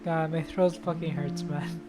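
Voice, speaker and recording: A woman's voice: low-pitched, steady tone, a little slow. A woman game streamer reading a visual novel aloud in a casual, sweary manner, with guitar music behind her. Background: loud guitar music.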